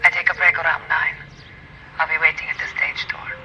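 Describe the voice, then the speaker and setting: Guarded German woman, flat and bright. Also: deep voice, fairly quick, a little hiss or hum. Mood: guarded, businesslike. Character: guarded, businesslike German woman working at a nightclub. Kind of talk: video game acting, period noir drama. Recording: dull sound with faint music.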